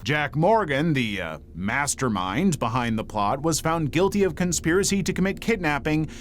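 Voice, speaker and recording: A man reading scripted true-crime documentary narration in a dramatic, measured storytelling manner. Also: a little high, very animated, slight background noise. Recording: faint music bed under narration. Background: background music.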